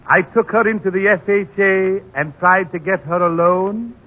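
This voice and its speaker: An eccentric male poet declaims silly rhymes with pompous flourish in a 1940s radio comedy. His voice is husky, and the old broadcast sounds dull and muffled. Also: high-pitched, steady tone, moderate pace.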